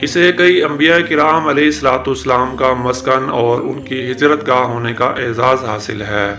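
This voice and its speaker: A man with a mid-pitched voice narrates religious history from a script. His delivery is formal and measured, with literary phrasing. Music plays clearly behind him. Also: fairly even intonation, bright, forceful tone. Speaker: narrator and presenter of a religious history video series. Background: background music.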